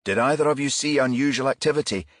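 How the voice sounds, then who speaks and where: A man voicing a businesslike, decisive male police boss in a crime audiobook, measured as he gives orders. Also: mid-range voice, fairly bright tone, dry recording, clean studio sound, voice pushed up, close to an everyday voice.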